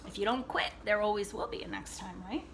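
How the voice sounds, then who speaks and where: A woman reading a picture book aloud to children like a teacher, expressively, pausing to explain and check in with her young listeners. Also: mid-range voice, noisy background. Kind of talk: read-aloud storytelling with asides to young listeners.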